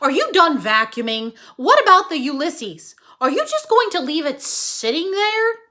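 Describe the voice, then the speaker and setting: A woman voicing an impatient, demanding husband who calls out loudly in a children's storybook. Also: sits high, very animated, measured pace, full character voice. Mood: impatient hollering. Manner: loud, calling out. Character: impatient, demanding husband hollering from a back door. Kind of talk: children's storybook read-aloud.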